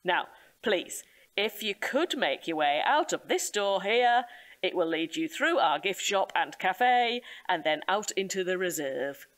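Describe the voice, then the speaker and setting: An actress playing an earnest, solemn nature reserve guide, speaking in a measured, emphatic, formal way in an audio drama. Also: female voice, on the low side, sing-song, fairly bright tone. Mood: ceremonious, welcoming. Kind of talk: audio comedy drama acting.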